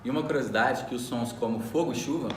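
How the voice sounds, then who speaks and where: A man's voice: medium pitch, fast talker. Man, Brazilian Portuguese accent, student radio co-host, semi-scripted delivery, mid-range voice, echoey room sound. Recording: roomy echo, faint background noise.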